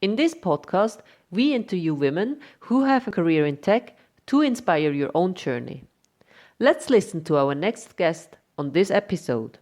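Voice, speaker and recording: A woman podcast host with a fairly low voice introduces guests and asks questions. She speaks clearly and at a measured pace. The sound is dry and close. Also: very animated, darkish tone, no echo. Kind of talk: podcast hosting, read intro.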